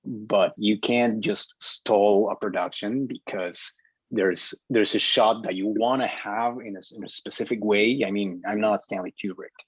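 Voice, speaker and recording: A man speaking as an instructor in a casual discussion, halting and often repeating words, on a muffled online call. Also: fairly low pitch, steady tone, breathy edge, no room sound. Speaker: film instructor leading a class discussion. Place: online group video meeting.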